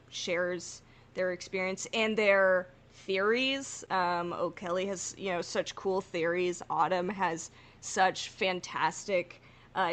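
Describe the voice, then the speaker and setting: A woman's voice: on the low side, fairly bright tone. A woman co-hosting a podcast, chatting casually in a laid-back, slangy, jokey way. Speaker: podcast co-host. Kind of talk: casual chat.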